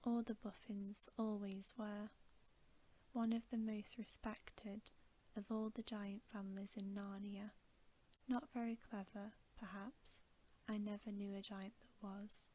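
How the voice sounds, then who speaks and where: A woman plays a gentle storybook faun. The creature muses genteelly in a soft whisper, unhurried and mellow. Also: medium pitch. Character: kindly male faun, knowledgeable, chatty gentle creature. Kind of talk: whispered ASMR storybook reading.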